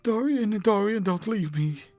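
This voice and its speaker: A man's voice: high-pitched, lively intonation, measured pace, a little mellow, no room sound, faint music behind, pitched above the performer's own voice. A man voicing a desperate, lovestruck young actress, imploring and melodramatic in a dramatic audiobook reading. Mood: desperate pleading. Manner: imploring, breathless outpouring, melodramatic.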